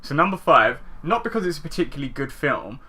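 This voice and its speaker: A man's voice: fairly high pitch, sing-song, fast talker, sharp tone, husky. A young man co-hosting a podcast, chatting casually and bluntly with plenty of swearing. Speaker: podcast co-host. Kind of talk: casual chat, counting down favourite films.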